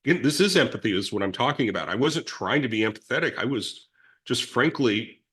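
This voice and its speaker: A man's voice: mid-range voice, animated, a little fast. A middle-aged man in recovery telling his story in a reflective, rambling way with many restarts and self-deprecating asides. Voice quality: big loud voice. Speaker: guest in recovery.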